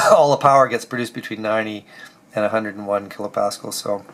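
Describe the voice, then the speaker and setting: A man with a hoarse voice from a cold, casually walking through engine tuning settings and thinking aloud with halting pauses. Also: lowish voice, a bit bright. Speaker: car builder explaining engine tuning. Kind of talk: casual technical walkthrough.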